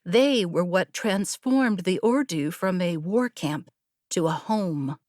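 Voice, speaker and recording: A woman narrating a scripted story as an audiobook, in a measured, literary storytelling manner. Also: lowish voice, darkish tone, no echo, studio quality.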